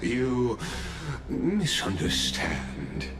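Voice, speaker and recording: A very slow, grandiose sly ritual overseer. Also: male voice, medium pitch, big pitch swings, gravelly, heavily acted. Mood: grandiose, amused. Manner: grandiose, deliberate, ominous, mocking chuckles. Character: theatrical, sly male ritual overseer dropping his masquerade. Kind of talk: dramatic fantasy game acting. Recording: echoey, loud music behind.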